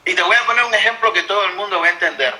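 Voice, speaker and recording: A man lays out his points at length, measured and explanatory, often restarting a phrase. His voice sits high for a man and sounds very bright and forceful. The recording carries a noticeable room echo. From Cuba. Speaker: interviewed author. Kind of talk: interview answers.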